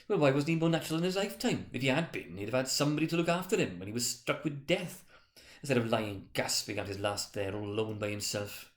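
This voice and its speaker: A man's voice: medium pitch, expressive, fast, lightly acted. A man voicing a bold, defiant thieving charwoman in a dramatic storybook reading. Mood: brazen, scornful. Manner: flaunting, scornful, argumentative.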